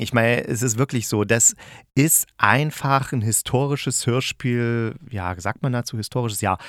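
A man's voice: mid-range voice, fairly bright tone, no room sound, studio quality. A man hosting a podcast as a critic, giving an enthusiastic, conversational review in a colloquial, emphatic and opinionated way. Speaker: podcast host and radio drama critic.

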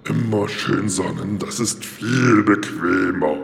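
Man playing a gravelly, grumbling talking lion. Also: fairly low pitch, takes it slowly, voice pushed down, full character voice. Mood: plaintive. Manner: hesitant, repeats words, plaintive. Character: grumpy, sun-loving, hungry talking lion, simple-minded. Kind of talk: children's fairy-tale radio play. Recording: reverberant hall sound.

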